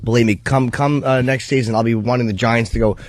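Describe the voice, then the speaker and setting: A man's voice: mid-range voice, steady tone, quick pace, no room sound. A man co-hosting a radio show, bantering casually about sports.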